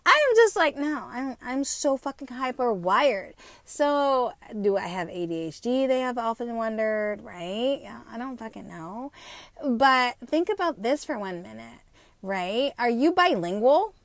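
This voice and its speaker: A woman in her fifties with a New Jersey accent at times, hosting an unscripted podcast monologue, talking fast, swearing freely and tagging her points with "right?". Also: on the high side, very animated, dry recording. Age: 50s. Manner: fast-talking, profane and rambling; emphatic, with frequent tag questions. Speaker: podcast host.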